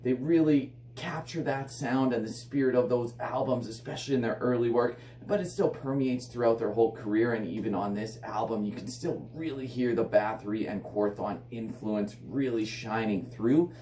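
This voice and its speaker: Hoarse man raving about an album. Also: medium pitch, moderate pace, few pauses, mellow. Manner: enthusiastic, filler-heavy rambling with frequent "like". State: hoarse and raspy from illness. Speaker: metal album reviewer. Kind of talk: casual spoken review. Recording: echoey room, slightly distant mic, faint hum.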